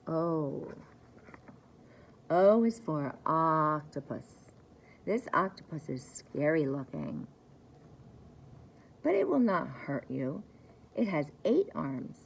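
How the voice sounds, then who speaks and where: A woman reading aloud for young children like a preschool teacher, in a simple, deliberate way, repeating key words. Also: low-pitched, big pitch swings, vocal fry, slight background noise. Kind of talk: children's story time read-aloud.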